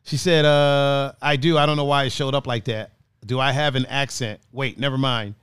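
A man hosting a casual podcast chat, talking in a playful, animated way full of slang and laughter. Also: mid-range voice, normal speed, clean tone, dry recording, studio quality. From another country, raised partly on the East Coast. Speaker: podcast host.

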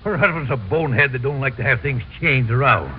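A man's voice: medium pitch, expressive, brisk, natural voice. An American man playing a jovial, folksy, blustering deliveryman with a taste for showmanship in an old radio comedy. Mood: chummy. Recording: muffled, noisy old radio recording.

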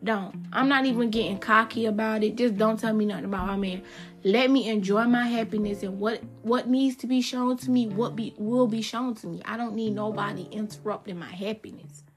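A woman's voice: a little high, fairly even intonation, a little fast. Chatty thirty-year-old woman over music. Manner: chatty and emphatic, repeats phrases for effect. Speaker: podcast host. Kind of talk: casual solo podcast talk.

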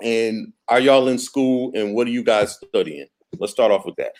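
A man's voice: mid-range voice, normal speed, a little mellow. A man hosting a podcast, talking in an enthusiastic, slangy, casual way. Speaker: podcast host.

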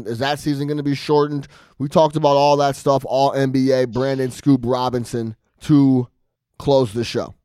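A man from Chicago hosting a casual sports talk podcast over a call, hyped up, slangy and quick to laugh. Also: medium pitch, darkish tone, dry recording, clean studio sound. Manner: hype, slangy, laughs often. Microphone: remote call.

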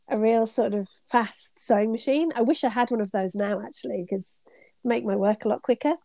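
A woman's voice: on the high side, normal speed, creaky, dark tone, no room sound. A middle-aged British woman with a cold, sounding stuffed up as she chats as an interview guest, telling rambling stories full of ums and laughs. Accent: British English. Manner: chatty, rambling storyteller with frequent ums and laughs. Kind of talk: casual conversational interview.

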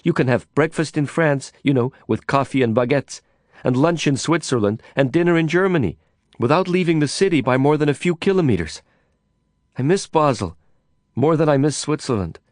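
A man voicing a cool, enigmatic Swiss woman in an audiobook, speaking in a calm, measured, teasing way. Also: a little high, no echo, clean studio sound, voice pushed up, close to an everyday voice. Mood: wistful.

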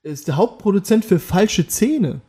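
A man's voice: high voice, lively intonation, a little slow, dark tone, breathy edge. A man co-hosting a podcast, chatting casually and jokingly, often trailing off mid-sentence. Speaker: podcast co-host. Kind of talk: casual chat.

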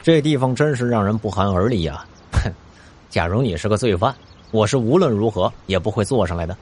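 Wry amiable companion: lowish, raspy voice, audio drama dialogue. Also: male voice, expressive, a little fast, a little mellow. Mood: teasing. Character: wry, amiable male crime storyteller.

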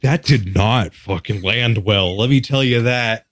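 A man's voice: on the low side, measured pace, a bit bright, dry recording. A man from Texas chatting casually as a podcast guest, with a candid, wry, casually profane way of talking. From Texas, United States. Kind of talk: casual interview chat.

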